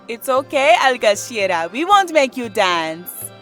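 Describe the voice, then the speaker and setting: Storybook casting: young female dancer done by a woman narrator, high-voiced, fond, teasing, playful and cooing, music under. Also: very animated, measured pace, a bit bright.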